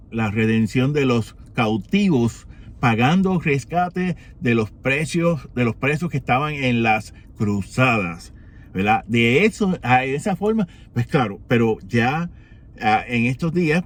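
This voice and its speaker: A man from Rico, giving an unhurried reflection for morning prayer, with many pauses and rhetorical questions. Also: mid-range voice. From Puerto Rico.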